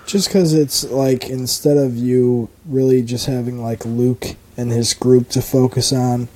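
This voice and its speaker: A man's voice: medium pitch, level tone, a little slow, dark tone. A man with a muffled voice, co-hosting a podcast and rambling with enthusiasm in casual chat, full of fillers. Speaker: podcast co-host.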